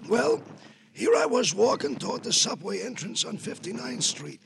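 An actor playing a fussy, indignant New citizen informant, peevish and stammering, in radio crime drama style. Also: male voice, high-pitched, big pitch swings, normal speed, creaky, exaggerated voice. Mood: self-righteous. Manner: peevish, self-righteous, stammering. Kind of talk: 1950s American radio crime drama acting. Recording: faintly noisy old radio recording.

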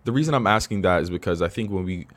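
Male podcast host, very low voice, relaxed and slangy, asks probing follow-ups. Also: fast talker. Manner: laid-back, slangy, probing questions. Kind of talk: casual interview conversation.

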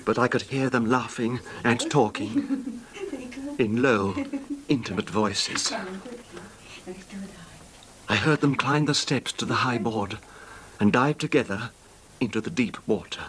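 An actor playing an ailing, betrayed husband who recounts his grievance in halting, formal radio drama style. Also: medium pitch, a crowd in the background, natural voice. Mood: pained, brooding. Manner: halting, formal, genteel delivery. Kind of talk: old-time radio drama acting, narrated recollection. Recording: noisy old radio drama recording.

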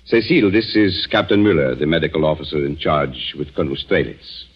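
An actor playing a secretive, commanding French country doctor and husband in a 1940s radio drama, speaking in a measured, firm, evasive way. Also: male voice, extremely low pitch, husky. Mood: businesslike. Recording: muffled, noisy 1940s radio recording.

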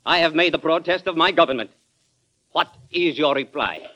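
An actor playing a proud, stiffly formal Italian ambassador in an old-time radio drama. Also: male voice, a little high, lively intonation, moderate pace, sharp tone. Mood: flustered, formal. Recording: dull vintage radio recording.

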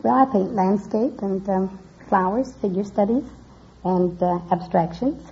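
Creaky-voiced woman on noisy old radio. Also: medium pitch, warm tone. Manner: careful, formal wording with hesitant pauses. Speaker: painter, quiz contestant. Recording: muffled noisy old radio, audience behind.

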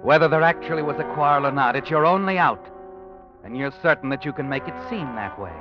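Actor as ominous, taunting radio mystery narrator. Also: male voice, a little high, quick pace, lightly acted. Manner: dramatic, insinuating, taunting. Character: ominous, all-knowing narrator taunting a killer in second person. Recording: dull old radio, faint music behind.

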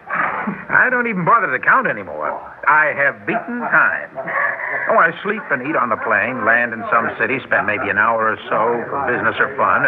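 Male actor as wealthy, driven tycoon: emphatic, animated delivery, classic radio drama through a thin narrow band. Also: mid-range voice, close to an everyday voice. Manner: self-assured, genial, emphatic. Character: confident, obsessive wealthy male tycoon racing westward against time. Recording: noisy, narrow-band old radio recording.

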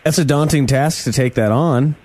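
A man's voice: mid-range voice, normal speed, a little mellow. A man hosting a political interview in a chatty way, with sarcastic asides. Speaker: interviewer host.

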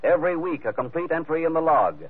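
A man's voice: a little high, lively intonation, quick pace, narrow, tinny audio, heavy background noise. A man announcing a 1940s radio show in a theatrical, proclaiming style. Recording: thin vintage radio with loud music.

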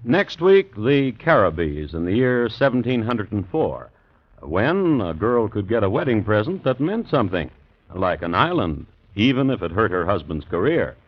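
A man playing a droll, measured host who narrates crime tales in old radio style. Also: lowish voice, sing-song, husky. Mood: wry, measured. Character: droll, deliberate male storyteller presenting true crime tales. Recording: dull vintage radio, loud music.

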